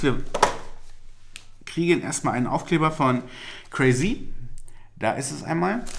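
Brief: upbeat man in his forties, hosting an unboxing vlog on a desk camera, noise audible behind him. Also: medium pitch. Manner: chatty, upbeat, talks while handling a box. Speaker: fishing lure channel host. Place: small indoor room with shelves.

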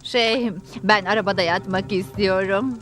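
A woman's voice: mid-range voice, steady tone, normal speed, fairly bright tone, natural voice. A woman voicing a fretful but assertive pioneer wife in a dubbed cartoon, with a dramatic, indignant manner. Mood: uneasy.

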